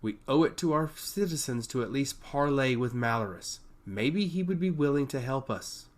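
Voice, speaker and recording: Storybook princess, read by a man: earnest, argumentative, pleading, with animated intonation. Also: medium pitch, normal speed, natural voice. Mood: insistent pleading. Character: adult princess, earnest, insistent, hopeful.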